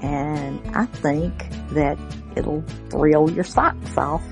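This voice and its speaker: A woman hosts casually in a low voice. She takes her time, hesitating and rambling as she introduces things, with music playing clearly behind her. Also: fairly even intonation, extremely slow. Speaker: radio show host. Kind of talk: casual, unscripted show introduction.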